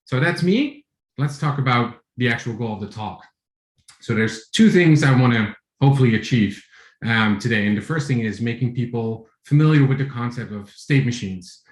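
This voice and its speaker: A man in his forties from the Netherlands with a Dutch accent, giving a relaxed tech talk over a video call, with many fillers and restarts. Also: on the low side, normal speed, reverberant. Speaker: conference speaker. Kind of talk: online tech talk with screen share.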